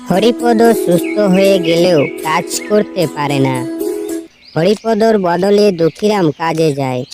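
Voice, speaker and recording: A woman's voice: fairly low pitch, animated, measured pace, over music. Woman narrating a cartoon story.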